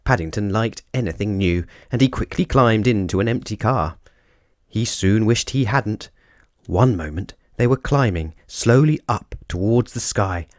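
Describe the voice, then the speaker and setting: A male narrator reads a children's storybook. His voice sits on the low side and moves expressively up and down. The sound is dry, with little room around it. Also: animated, a little slow, no echo.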